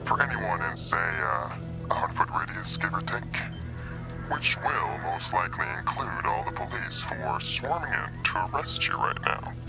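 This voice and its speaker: An actor playing a calm, sadistic killer in a crime thriller game, taunting in a measured, menacing way. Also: male voice, fairly low pitch, over music, natural voice, low-quality recording. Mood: cold, taunting.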